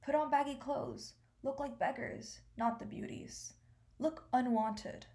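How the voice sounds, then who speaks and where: A woman's voice: high-pitched, takes it slowly, dark tone. An actress playing a stern woman who recounts family history as a storyteller in a radio play. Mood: stern, grave.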